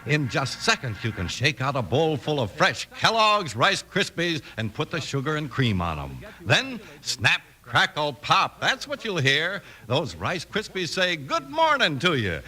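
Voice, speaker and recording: A man's voice: on the high side, pushed and bright. An American man announcing in an emphatic, polished 1950s radio style, reading scripted sponsor copy and narration. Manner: emphatic, polished sales and story delivery. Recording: vintage radio broadcast with music. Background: music.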